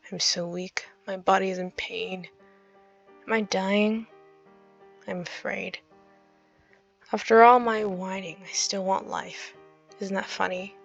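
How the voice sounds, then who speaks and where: A woman's voice: medium pitch, gravelly. Woman reading as brooding, weary old demon diarist. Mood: weak, afraid. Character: centuries-old male demon writing a weary, despairing journal. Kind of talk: dramatic reading of journal entries.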